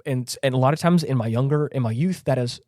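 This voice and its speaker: A man's voice: mid-range voice, quick pace, darkish tone, no echo, studio quality. A man co-hosting a casual podcast chat, talking in a rambling, emphatic way and often repeating himself. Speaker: podcast co-host.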